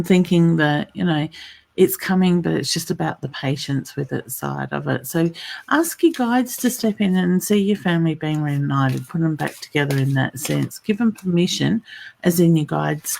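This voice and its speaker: Co-host, woman, middle-aged, husky with some vocal fry, encouraging tone. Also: deep voice, normal speed, a little mellow. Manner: encouraging, reassuring. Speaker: co-host and psychic reader. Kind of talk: casual live-stream chat.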